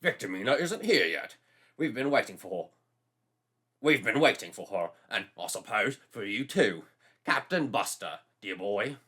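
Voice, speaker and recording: A man's voice: on the low side, lively intonation, a little slow, pushed and bright, slightly rough, heavily acted. A man voicing a beefy, blustering old military captain with old-fashioned speech. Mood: hearty.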